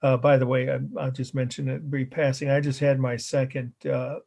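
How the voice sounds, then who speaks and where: Casting: middle-aged male art history lecturer, slightly hoarse, halting and rambling, mid-pitched. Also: little pitch movement, darkish tone. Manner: rambling, halting with fillers and self-corrections. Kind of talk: recorded class lecture.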